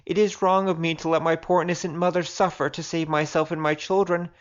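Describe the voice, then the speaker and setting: An anguished young mother from a storybook of old Japan, played by a male narrator. The voice is raised high and laments in sorrow. The sound is slightly dull and lo-fi. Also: little pitch movement, fairly quick, natural voice. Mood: anguished, sorrowful.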